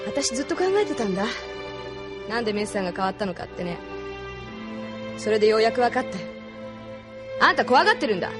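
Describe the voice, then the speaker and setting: An actress playing a tough, blunt female mech pilot with a street delinquent's rough way of talking, in an anime audio drama. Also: mid-range voice, very animated, fast talker, long pauses, music in the background, natural voice. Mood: earnest, challenging. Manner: rough, curt, frank speech.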